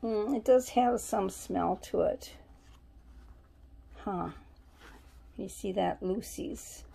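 A woman's voice: mid-range voice, takes it slowly, vocal fry, dark tone, slight background noise. A woman at home showing her soap haul on camera, chatting and musing aloud as she reads labels. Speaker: vlogger. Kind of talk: casual shopping haul show-and-tell. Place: at home, indoors.

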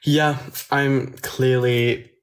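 A man's voice: medium pitch, level tone, drawn-out words, creaky. A teenage boy, chatting casually on a phone livestream in a small room at night, answering viewers' questions. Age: teens. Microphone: phone at arm's length.

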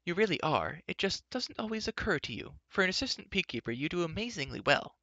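A man voicing a lively, outspoken young woman who chatters and teases. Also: sits high, fast, dry recording, lo-fi sound, pitched above the performer's own voice, heavily acted. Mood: teasing.

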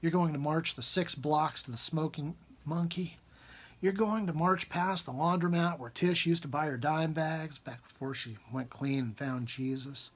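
Higher-pitched husky man reading aloud. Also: moderate pace. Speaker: author reading own fiction aloud. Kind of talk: expressive literary reading, informal. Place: small book-lined room at home, indoors.

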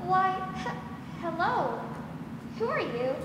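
A woman acts an eager, wide-eyed little girl in old radio drama style. The voice is extremely high and swoops expressively. It is heard in a noisy, echoey theater. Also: very animated, slow, distant mic, heavily acted. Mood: curious. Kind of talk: 1940s radio drama acting. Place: school theater stage. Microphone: stand microphone, masked performer.

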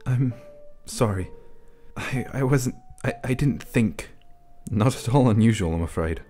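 A woman voicing a tall, smug male shopkeeper who talks in a smooth, mocking, falsely deferential way. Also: very deep voice, expressive, unhurried, dark tone, soft background music, pitched below the performer's own voice. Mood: sly. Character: tall suave man, sly shopkeeper, smug.

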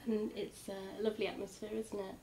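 Woman, 40s, creaky voice, casual home testimonial, noisy recording. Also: mid-range voice, level tone, brisk, dark tone. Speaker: married couple sharing a testimonial. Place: living room sofa, indoors.

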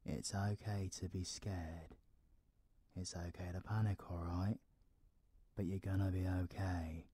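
A man's voice: low voice, fairly even intonation, a little slow, long pauses, warm tone, slightly rough, dry recording. A British man playing a cocky, foul-mouthed yet protective supervillain in an audio roleplay. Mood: soothing, hushed. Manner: slangy, swearing, swinging from murmurs to outbursts. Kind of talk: audio roleplay, intimate ASMR acting.

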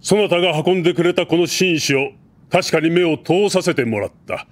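A man's voice: on the high side, animated, natural voice. A man playing a stately middle-aged king. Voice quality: stately, formal, measured. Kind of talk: anime drama CD acting.

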